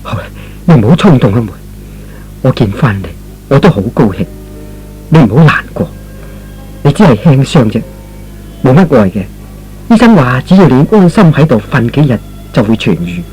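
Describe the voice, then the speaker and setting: A man playing a gentle, remorseful young man in a radio drama, earnest and pleading. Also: medium pitch, very animated, normal speed, long pauses, breathy edge, soft background music, poor audio. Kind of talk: Cantonese radio drama acting.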